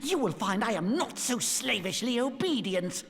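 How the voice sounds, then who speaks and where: Haughty swooping lord, echoing hall. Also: male voice, very high voice, sing-song, moderate pace, vocal fry, fairly bright tone, full character voice. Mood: sneering contempt. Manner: pompous, sneering, archaic formal speech. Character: haughty, scheming lord, cold and accusatory. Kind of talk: theatrical fantasy video game acting. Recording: long hall reverb.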